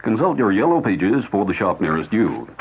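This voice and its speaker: A man's voice: on the low side, sing-song, normal speed, slight background noise. A male announcer reading an old radio commercial in an earnest, persuasive way. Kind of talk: 1970s radio advertisement read. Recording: tinny old radio broadcast recording.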